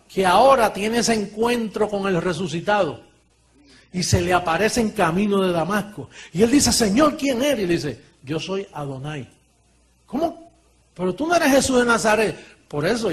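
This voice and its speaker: High-voiced, animated male preacher. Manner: animated, swings from low asides to shouting; laughs often while preaching. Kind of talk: sermon.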